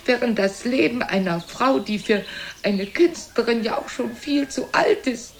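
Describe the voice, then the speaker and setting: A high-voiced old mother with a creaky edge, full of self-pity and reproach. She laments theatrically, as in a radio play, dramatic and plaintive. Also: measured pace. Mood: defensive, scornful. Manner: plaintive, dramatic, repetitive lamenting with swings in loudness. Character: aging, self-pitying, reproachful mother and travelling theatre woman.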